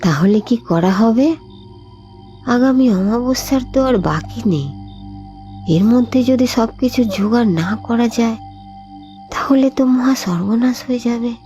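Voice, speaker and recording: Woman playing a slow, pleading rich wife. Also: fairly high pitch, warm tone, close to an everyday voice. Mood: anxious. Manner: pleading, fretful. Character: anxious, pleading wife of a wealthy family. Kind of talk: dramatic Bengali horror cartoon story acting. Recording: dull recording with background music.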